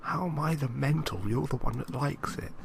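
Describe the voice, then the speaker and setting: A man's voice: on the high side, normal speed, gravelly, slight background noise. A man co-hosting a casual podcast, bantering in a skeptical, teasing way. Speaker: podcast co-host.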